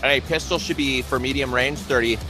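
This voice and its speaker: A man's voice: mid-range voice, steady tone, fairly quick, sharp tone, faint music behind, rough recording. A man acting as game master, improvising narration for a tabletop zombie roleplay.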